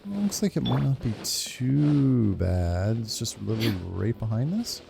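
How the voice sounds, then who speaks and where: Female playthrough host: extremely low register, lively swinging intonation, informal reactions, game music underneath. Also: measured pace, creaky, background noise. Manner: informal, interjecting, reacting aloud. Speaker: gaming video host. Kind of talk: casual playthrough commentary.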